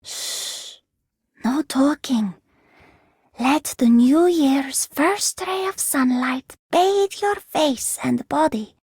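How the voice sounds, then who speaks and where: An actress playing a serene, reassuring young woman in a video game, with a hushed, languid delivery. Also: high-pitched, drawn-out words, dry recording, clean studio sound, full character voice. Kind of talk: video game character voice line.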